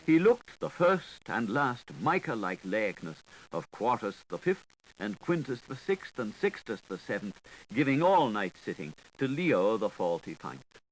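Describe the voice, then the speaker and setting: A man's voice: medium pitch, sing-song, a little slow, slight background noise, low-quality recording. Theatrical male audiobook narrator. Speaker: audiobook reader.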